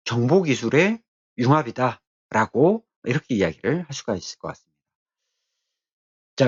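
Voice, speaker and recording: A male technical instructor lectures in a mid-pitched, husky voice. He explains in a deliberate, halting way, pausing often between short phrases. The recording sounds slightly dull and muffled.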